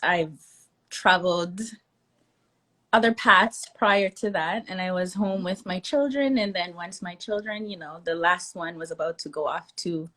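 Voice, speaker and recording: A woman esthetician and mother of two, a guest in a conversational interview over a video call, telling her story in a relaxed way. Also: on the low side, unhurried.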